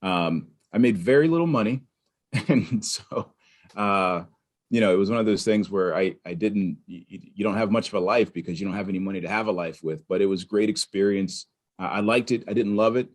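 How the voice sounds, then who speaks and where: A man from Pittsburgh, a podcast guest, telling his story in an animated, conversational way with frequent restarts. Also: on the low side, a little fast. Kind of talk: conversational interview.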